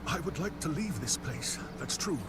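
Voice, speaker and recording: A fretful, cursed would-be knight, voiced by a man in a fantasy video game. He sits a little high for a man and talks as if every misfortune is a plot against him. His lines play over a clear bed of background noise from the game audio. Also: expressive, moderate pace, lightly acted. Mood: gloomy. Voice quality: anxious, doom-laden delivery. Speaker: game character.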